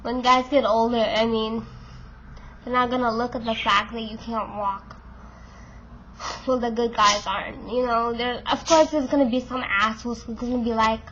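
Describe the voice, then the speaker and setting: A woman's voice: fairly high pitch, muffled recording, noisy background. A woman in her twenties talking to camera in a casual, frank way, full of fillers, with a stuffed-up nose and a voice she keeps losing. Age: 20s. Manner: chatty, frank, many fillers. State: congested and losing voice. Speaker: vlogger.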